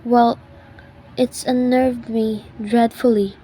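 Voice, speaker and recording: Woman acting high-voiced, fretful invalid housewife. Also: level tone, takes it slowly, warm tone, a little hiss or hum. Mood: anxious, fretful. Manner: fretful, insistent, haughty. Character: anxious, demanding, self-pitying invalid housewife phoning the police. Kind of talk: old-time radio thriller acting.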